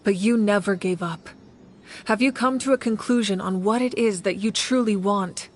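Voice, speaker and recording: A woman voicing a calm, wise guide in a video game, composed and authoritative in her delivery. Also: medium pitch, normal speed, slight background noise, natural voice. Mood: solemn. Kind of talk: dramatic video game voice acting.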